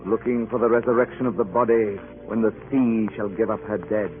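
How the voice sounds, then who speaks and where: A man's voice: fairly low pitch, steady tone, a little fast, background noise, lightly acted. Man acting a grave sea captain, tinny radio. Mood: solemn. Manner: grave, formal, dramatic. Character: earnest, urgent ship's captain telling a grim sea tale. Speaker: narrator and ship's captain. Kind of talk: 1940s radio drama acting. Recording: tinny old radio, music behind.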